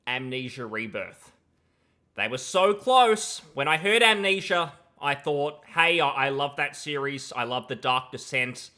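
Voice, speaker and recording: A man's voice: mid-range voice, lively intonation, measured pace, bright, forceful tone. A young adult man giving casual, opinionated reaction commentary in a sardonic, dismissive manner, on a close mic in a small room. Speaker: video commentator. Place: small plain room indoors. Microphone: close boom-arm mic, headset on.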